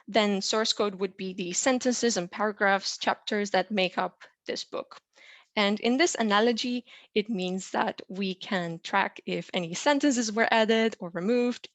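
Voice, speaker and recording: A woman's voice: mid-range voice, no echo. Woman explaining coding, dry sound. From Romania. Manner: clear explanatory delivery with frequent "uh" and "um". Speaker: software engineer teaching a lesson. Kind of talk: presenting a tutorial.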